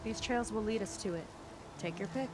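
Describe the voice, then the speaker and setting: A woman's voice: mid-range voice, moderate pace, very noisy, natural voice. Actress as direct, curious young woman companion. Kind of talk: video game voice acting.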